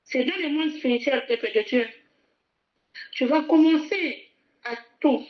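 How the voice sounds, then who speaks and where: Fervent woman preacher, higher voice. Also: unhurried, lo-fi sound. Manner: fervent, repetitive preaching with calls to repeat after her. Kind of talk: sermon.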